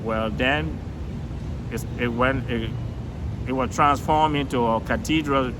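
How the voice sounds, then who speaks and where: An older man from Liberia with a Liberian accent, a retired DJ telling his life story in a relaxed interview, often chuckling and restarting phrases. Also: mid-range voice, slow pace, background noise.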